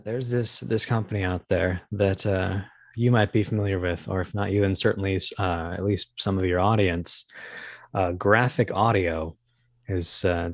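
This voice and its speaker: Low-voiced man chatting, halting delivery. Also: no room sound. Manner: halting, thinking aloud. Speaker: guest, audio drama producer and voice actor. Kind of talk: casual interview chat.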